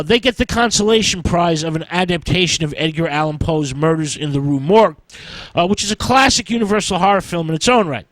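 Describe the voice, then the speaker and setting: A man hosting a film history show, telling the story in an informal lecture style, in halting, choppy short phrases. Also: sits high, fairly bright tone, no room sound, rough recording.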